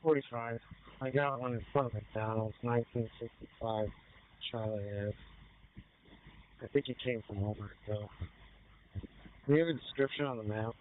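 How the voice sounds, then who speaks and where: A man's voice: mid-range voice, normal speed, long pauses. A man working as an emergency responder, making terse calls over a two-way radio. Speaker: police officer or responder. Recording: tinny, faintly noisy scanner radio.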